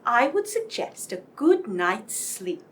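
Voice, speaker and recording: A woman's voice: fairly high pitch, big pitch swings, slow, fairly bright tone, distant mic, a little hiss or hum, heavily acted. A woman playing a plucky, self-assured lady detective in a radio mystery play. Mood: reassuring.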